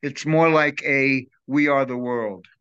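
A man's voice: mid-range voice, steady tone, takes it slowly, fairly bright tone, clear, resonant tone. An older man chatting as a guest on a video call from his home, rambling and enthusiastic. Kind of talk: casual interview chat. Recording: dull lo-fi video call audio.

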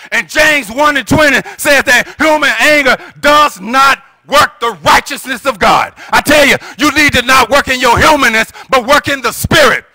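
A man's voice: very high-pitched, no room sound, rough recording. A middle-aged American man preaching an impassioned sermon as a pastor, emphatic and exhorting at a pulpit microphone. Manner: emphatic, exhorting, often rising into shouts. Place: church sanctuary, at the pulpit. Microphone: gooseneck pulpit microphone.

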